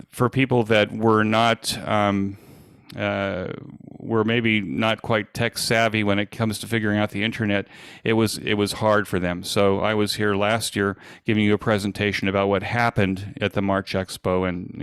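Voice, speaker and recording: A man giving an informal presentation as an event organizer, speaking in a halting, hesitant way with frequent pauses. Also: on the low side, clean tone, dry recording, clean studio sound.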